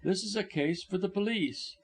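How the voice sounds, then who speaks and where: A man voicing an earnest, agitated male doctor with a hurried, questioning way, in an audiobook story. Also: high voice, husky, pitched above the performer's own voice.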